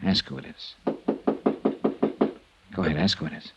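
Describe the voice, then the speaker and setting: An American actor playing a tough, level-headed insurance investigator in 1950s radio detective drama. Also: male voice, deep voice, lively intonation, normal speed, vocal fry, heavy background noise. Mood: tense, pressing. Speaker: lead actor and narrator of a detective series.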